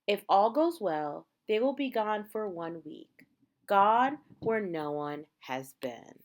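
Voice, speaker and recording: A woman reading a picture book aloud like a teacher, in measured, dramatic phrases with emphasized words. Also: mid-range voice, expressive. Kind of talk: read-aloud storytelling.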